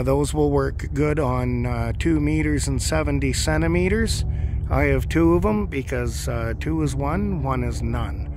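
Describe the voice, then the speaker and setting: A man giving an informal, matter-of-fact walkthrough of his gear, explaining each piece as a hobbyist. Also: mid-range voice. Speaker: amateur radio hobbyist presenting gear. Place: outdoors on a lawn. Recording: outdoor recording with clear background noise.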